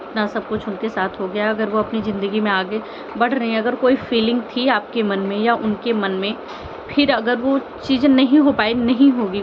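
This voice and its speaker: A woman with a fairly high voice speaks as an informal commentary vlogger. She is earnest and pleading, often repeating herself and posing rhetorical questions. The sound is somewhat muffled, with clear background noise behind her. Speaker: vlogger commenting on online family drama. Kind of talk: informal opinion commentary addressed to viewers.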